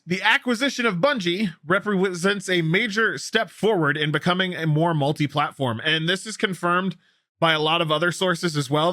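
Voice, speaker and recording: A man's voice: on the high side, sharp tone, no echo, studio quality. A man co-hosting a gaming news show, talking casually and emphatically, repeating himself for effect and swearing freely. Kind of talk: casual news commentary with co-host.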